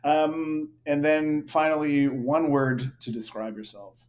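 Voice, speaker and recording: A man's voice: a little high, little pitch movement, takes it slowly, a little mellow. A man interviewing a guest on a podcast, encouraging him with short affirmations and light laughs. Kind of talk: casual interview chat.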